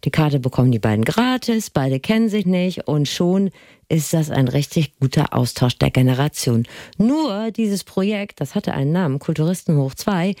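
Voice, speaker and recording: A woman hosts a culture magazine on the radio. Her voice is mellow and a little low, recorded close and dry. She reads her script in a conversational way. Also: no room sound.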